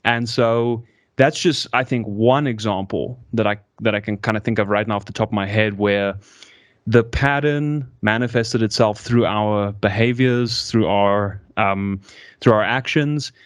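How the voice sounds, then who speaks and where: A man's voice: fairly low pitch, no room sound, studio quality. A man from South Africa whose speech mixes American and South African accents, thinking aloud as a guest in a conversational interview with frequent restarts. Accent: mixed American and South African English. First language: American English. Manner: hesitant, halting, with many restarts.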